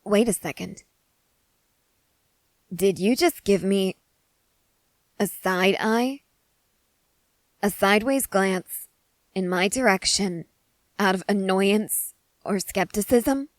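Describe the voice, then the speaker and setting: Girl voicing an excitable lady scientist in an asmr roleplay, wordy n curious, pretty dry close sound. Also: mid-range voice, slow, pauses often, no room sound, close to an everyday voice. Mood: astonished. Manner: eager, wordy, apologetic, formal phrasing. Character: excitable, curious woman scientist studying a wild wolf. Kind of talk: scripted ASMR audio roleplay to the listener.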